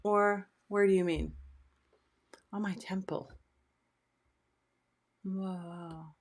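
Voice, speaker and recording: A woman's voice: medium pitch, steady tone, very slow. A middle-aged woman from Sweden, a native Swedish speaker, hosting a casual live stream and chatting as she reads out viewer questions. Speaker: host.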